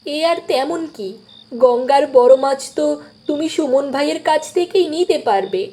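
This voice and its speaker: A woman's voice: high-pitched, expressive, normal speed, warm tone. A woman voicing a proud, clever village wife in a cartoon dub. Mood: teasing, proud. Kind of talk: cartoon dubbing.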